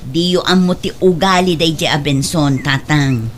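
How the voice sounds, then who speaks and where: A woman's voice: low-pitched, moderate pace, slight background noise, lightly acted. A woman playing a defensive, exasperated wife and indulgent mother in an audio drama, speaking in a complaining manner.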